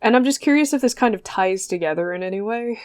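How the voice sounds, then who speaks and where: A woman's voice: medium pitch, lively intonation, quick pace, a little mellow, clean tone. Chatty woman with many ums. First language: not Japanese. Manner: chatty, hesitant with frequent ums, curious. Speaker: podcast co-host. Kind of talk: casual podcast chat.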